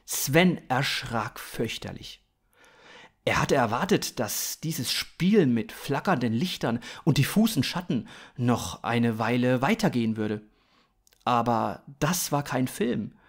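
Casting: male narrator in his thirties for comic sci-fi, expressive, swooping pitch, deliberate slow tempo. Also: breathy edge. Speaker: author-narrator of a story podcast. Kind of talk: scripted narration of comic science fiction.

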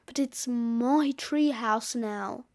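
Gruff wise-guy snowman for a children's audiobook, played by a woman: bossy, cheeky, unhurried. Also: fairly high pitch, darkish tone, no room sound, clean studio sound, voice pushed down. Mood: smug, bossy. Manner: slangy, brash, dismissive.

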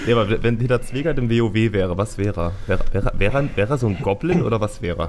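Deep-voiced man hosting a podcast. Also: quick pace, darkish tone. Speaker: podcast host. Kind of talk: casual chat.

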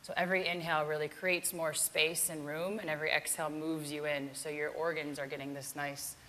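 A woman's voice: low voice, flat delivery, brisk, a bit bright, a little hiss or hum. A woman yoga teacher giving a casual keynote talk with a live demonstration, chatty and self-deprecating.

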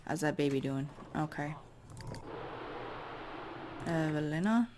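A woman with a raspy voice giving casual, chatty commentary as she plays a life-simulation game. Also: deep voice, lively intonation, slow pace, background noise. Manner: chatty, musing aloud while directing characters. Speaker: let's play host.

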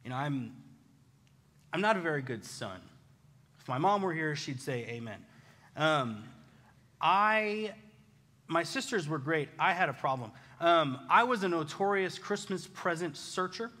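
An American man preaching a conversational sermon, casual, animated and self-deprecating, telling personal stories as a pastor. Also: fairly high pitch, measured pace, long pauses. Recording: reverberant hall.

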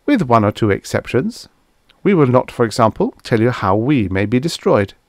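A man voicing an ancient alien machine oracle, impartial and formal, with a measured, pedantic manner in a science fiction audiobook. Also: mid-range voice, big pitch swings, dry recording, studio quality. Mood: calm, precise.

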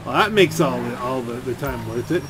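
A man's voice: mid-range voice, expressive, moderate pace. Grumbling man over noisy game sounds. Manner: rambling, grumbling, sardonic. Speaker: gaming video creator. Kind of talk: casual gameplay commentary, off-the-cuff rant. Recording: clear game vehicle and gunfire noise. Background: video game driving and shooting sounds.

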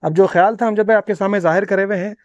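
A Hyderabadi man interviews a farmer in a promotional, conversational way. His voice is high and he talks fast, often repeating what he hears. The recording is dry and somewhat dull. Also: fairly even intonation, a little mellow, no room sound. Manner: repeats answers back, peppers talk with pious phrases. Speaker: video host interviewing a livestock farmer. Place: outdoors on a grassy farm yard. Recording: dull lo-fi sound.

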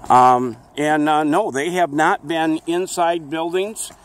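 A man's voice: fairly high pitch, takes it slowly. A man with a friendly, rambling manner, interviewing people outdoors on a town street into a handheld camera.

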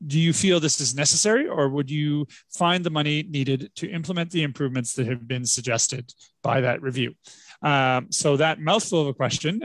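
A man moderating an online election town hall, reading out questions and handing the floor to candidates in a friendly, brisk way. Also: on the high side, fairly bright tone. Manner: friendly, brisk hand-offs. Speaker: debate moderator.

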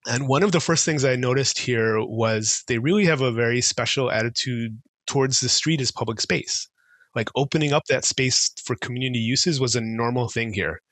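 Guest: middle-aged man from israel, relaxed, thoughtful, headset mic, dry sound. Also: medium pitch, normal speed, no echo. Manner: relaxed, thoughtful, many fillers and restarts. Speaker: guest, transportation consultant. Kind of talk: casual podcast chat. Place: home office with bookshelves. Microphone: headset mic on video call.

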